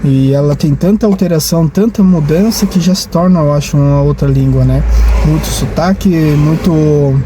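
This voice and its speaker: A man's voice: on the high side, moderate pace, mellow. A man, vlogging casually in a rambling, hesitant way full of restarts and tag questions. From Brazil. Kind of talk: casual vlog talk. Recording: noisy, with traffic behind.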